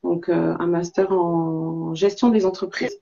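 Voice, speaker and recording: A woman's voice: on the low side, fairly even intonation, a little slow, vocal fry, warm tone. A woman in her forties from southern France, chatting as an interview guest over a lo-fi video call. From Marseille, southern France. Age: 40s. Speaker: interview guest, entrepreneur and school coach. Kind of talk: casual interview conversation.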